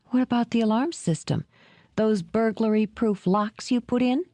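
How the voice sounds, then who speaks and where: A woman voicing a proud, quick-tempered young woman shopkeeper in an audiobook, with a raised, emphatic delivery. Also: fairly high pitch, mellow, no echo, pitched above the performer's own voice, close to an everyday voice. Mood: defiant. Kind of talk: audiobook character reading.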